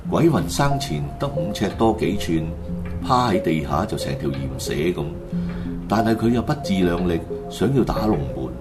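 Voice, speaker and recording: A man's voice: deep voice, sing-song, normal speed. A man with a Hong Kong Cantonese accent, narrating a scripted radio drama as a storyteller. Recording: loud music bed under the voice. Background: music.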